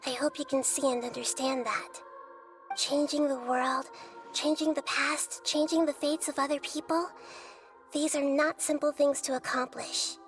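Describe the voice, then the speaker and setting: An actress voicing a gentle, childlike, wise little female deity in a video game, speaking in a measured, thoughtful way. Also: high voice, level tone. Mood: solemn. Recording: background music under voice.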